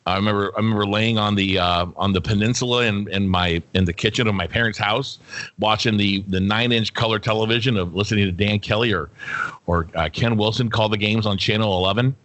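A man co-hosting a casual sports talk show, talkative and relaxed, often correcting himself mid-sentence. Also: fairly low pitch, brisk, no echo. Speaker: co-host.

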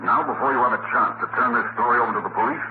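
An actor playing a coolly menacing, courteous murderer in a 1940s radio crime drama, speaking in measured, formal phrases. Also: male voice, mid-range voice, natural voice. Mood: courteous, threatening. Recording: noisy, phone-like old 1940s radio recording.

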